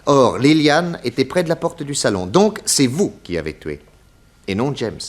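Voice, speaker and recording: An actor playing a confident private detective in a 1960s French radio crime serial, speaking in a courteous, methodical and theatrical way. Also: male voice, medium pitch, sing-song, normal speed, lightly acted. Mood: methodical, assertive. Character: confident male private detective exposing a murderer.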